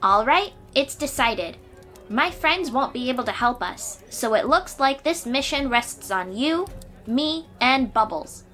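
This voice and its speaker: A woman's voice: high-pitched, expressive, a little slow, a bit bright. A woman playing a confident, eager young sea-dweller warrior in a fantasy audio roleplay, speaking expressively and informally to the listener. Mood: earnest, determined. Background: music.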